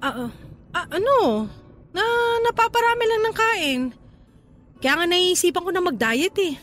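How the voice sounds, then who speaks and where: A woman's voice: very high voice, very animated, measured pace, a bit bright, faint music behind, full character voice. A Filipino woman acting a guarded, evasive partner with a secret, in radio drama dialogue. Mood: nervous, uneasy. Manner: hesitant, deflecting.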